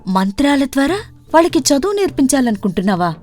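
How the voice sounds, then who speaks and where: A woman voicing a loyal, encouraging young village woman in a moral story, with an animated questioning manner. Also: sits high, fast talker, voice pushed up. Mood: urging. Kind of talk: acted dialogue in a moral story. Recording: music bed under the voice.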